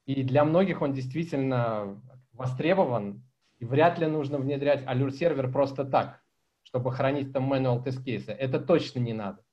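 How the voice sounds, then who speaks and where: A man's voice: mid-range voice, moderate pace. Chatty man on a dull video call. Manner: animated, gestures a lot, chatty and informal. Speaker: conference speaker answering questions. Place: small room at home. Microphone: laptop webcam mic on video call.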